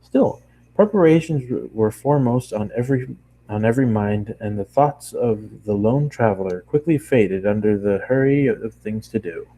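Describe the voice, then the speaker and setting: A man's voice: fairly low pitch, animated, normal speed, dark tone, poor audio. A man narrating a story aloud in an amateur reading, now and then stumbling and correcting himself. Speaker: amateur fan fiction reader.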